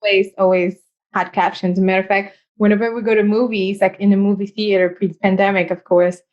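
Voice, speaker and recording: Brief: female podcast host, French native speaking English, animated and chatty, mid-range pitch. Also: muffled recording. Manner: animated, laughs often, quick asides. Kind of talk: casual interview chat.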